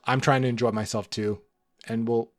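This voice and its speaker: A slightly hoarse man talks through his thoughts at an easy, rambling clip, circling back and restarting phrases as he goes. His pitch sits in an ordinary middle range. Soft music plays faintly under his voice. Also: normal speed. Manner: relaxed, rambling, frequent restarts and fillers. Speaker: book channel host. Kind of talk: rambling casual monologue to camera.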